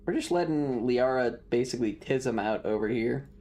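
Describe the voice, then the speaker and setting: A woman's voice: a bass voice, lively intonation, moderate pace, warm tone. A woman streamer giving casual live game commentary, with teasing, joking asides.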